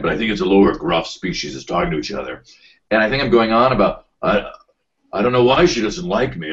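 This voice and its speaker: A man with a very deep, low voice talks loosely and at length as a podcast guest, self-deprecating and lively when he tells stories. The recording is slightly dull and lo-fi. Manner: rambling, self-deprecating, animated storytelling. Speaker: voice actor guest. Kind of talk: casual podcast interview.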